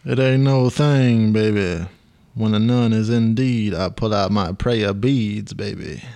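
A man's voice: on the low side, unhurried, mellow, clean tone, no room sound. A man playing a creepy, swaggering 1970s pimp in a comedy radio play, drawing out boastful rhymes and calling everyone baby. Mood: smooth, boastful. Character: creepy smooth-talking 1970s pimp, swaggering lothario hero.

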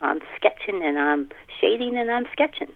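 A woman's voice: mid-range voice, expressive, normal speed, gravelly. Older woman on a tinny phone line. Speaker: author interview guest. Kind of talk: casual podcast conversation. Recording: thin band-limited phone line.

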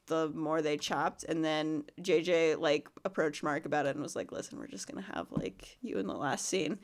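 A woman co-hosting a casual podcast chat, sick with a runny nose and sniffling, chatty and quick to laugh. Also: low voice, fairly even intonation. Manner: chatty, laughs often, trails off. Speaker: podcast co-host.

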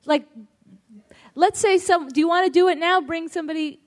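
A woman with a high voice moderates a live panel event. She talks in a loose, halting way, full of restarts and easy laughter. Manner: chatty, halting, laughing often.

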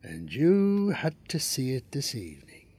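A man acting in an audio drama as a stern, scornful working father, hectoring and sarcastic in a husky voice. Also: mid-range voice, huge pitch swings, a little slow, a little mellow, heavily acted. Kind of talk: naturalistic radio drama acting.

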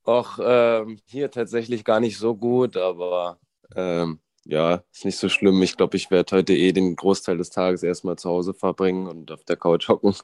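Young dude w a cold, super low voice, laid back, lots of ums, dry sound. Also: male voice, moderate pace, no echo. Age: 20s. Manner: relaxed, hesitant, lots of fillers. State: has a cold. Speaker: guest, ice hockey goalie.

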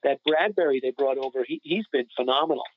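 A man's voice: medium pitch, fast talker, no room sound. A man giving emphatic, opinionated analysis in a casual sports podcast discussion. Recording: phone-like narrow-band sound.

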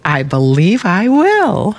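An actress playing a genteel, gushing small-town widow in old-time radio drama. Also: female voice, medium pitch, huge pitch swings, slow, exaggerated voice. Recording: noisy old radio recording, slightly distant.

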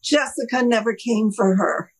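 A mature woman co-hosting a talk show, telling anecdotes casually in a deliberate, wry way. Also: fairly high pitch, husky, rough recording.

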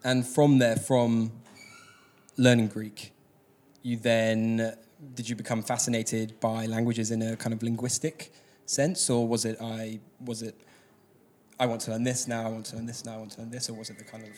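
A man's voice: mid-range voice, level tone, normal speed, darkish tone. A man interviewing a guest in a friendly, chatty way, with playful asides and some hesitation. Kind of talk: casual interview conversation.

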